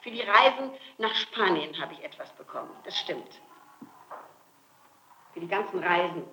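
A woman's voice: lowish voice, animated, normal speed, pushed and bright. A middle-aged woman being questioned on an old interrogation tape, answering defensively and insistently, breaking off and repeating her phrases. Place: indoor room, archival interrogation tape. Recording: muffled, noisy 1960s tape in an echoey room.

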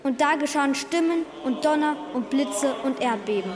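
Reverberant, high-pitched solemn reciter played by a woman. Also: normal speed, over a noisy crowd, lightly acted. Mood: solemn, ominous. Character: solemn scripture reciter of apocalyptic verses. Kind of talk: solemn recitation in radio drama. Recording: long cavernous reverb over music.

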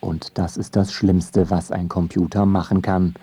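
A man narrating a scripted radio feature in a measured, wry manner. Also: deep voice, little pitch movement, noisy background. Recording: studio narration over music bed.

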